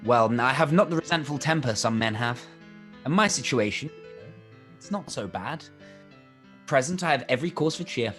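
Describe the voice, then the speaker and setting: A man playing a charming, wronged young officer in a period radio play, confiding and measured, with music behind. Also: medium pitch, animated, fairly bright tone, close to an everyday voice. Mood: stoic, cheerful. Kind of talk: live-read period radio play acting.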